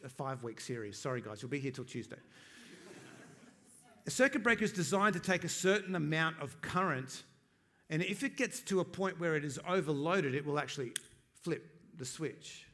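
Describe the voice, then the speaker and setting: A man preaching a sermon, swinging between loud, emphatic declarations and quiet, joking asides to the congregation, slowing down to stress key phrases. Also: fairly high pitch. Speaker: pastor.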